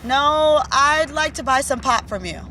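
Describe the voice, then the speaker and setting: A anxious young amateur comic in a sitcom. Her voice is high and bright, wry and emphatic, with faint noise behind it. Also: unhurried, low-quality recording. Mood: assured, wry. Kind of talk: podcast sitcom acting. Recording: faint background noise, vehicle ambience.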